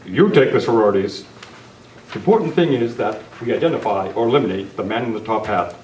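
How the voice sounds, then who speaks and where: A man's voice: fairly low pitch, lively intonation, fast, darkish tone, lightly acted. Man playing the Lone Ranger, earnest masked lawman. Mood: deliberating. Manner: formal, heroic, earnest. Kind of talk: old-time radio western script reading. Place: club meeting room, live presentation. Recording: echoey, noisy room, distant mic.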